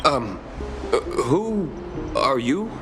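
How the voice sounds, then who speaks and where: A man's voice: mid-range voice, very animated, extremely slow. An actor playing a nervous, deferential male attendant in a fantasy game, speaking formally and apologetically. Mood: flustered. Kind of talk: scripted video game acting. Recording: loud game music behind. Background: loud music.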